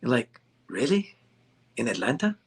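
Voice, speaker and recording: A man's voice: mid-range voice, big pitch swings, moderate pace, husky. A man, a ukulele shop owner being interviewed, chatting casually and joking with frequent laughter. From United States. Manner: jokey, laughs often. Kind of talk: casual chat.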